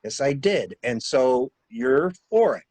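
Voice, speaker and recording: A man acting a coolly insistent stranger who questions a suspect in a halting, probing way, in a dramatic mystery reading. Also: mid-range voice, big pitch swings, darkish tone, no echo. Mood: coolly curious.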